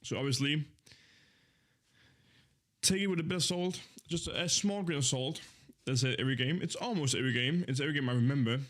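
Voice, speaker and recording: A man's voice: a little high, brisk, long pauses, a bit bright. A young man in his twenties who streams and chats casually to his viewers, rambling in an easygoing way and often correcting himself. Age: 20s. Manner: rambling, easygoing, with many fillers and self-corrections. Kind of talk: casual live-stream chat.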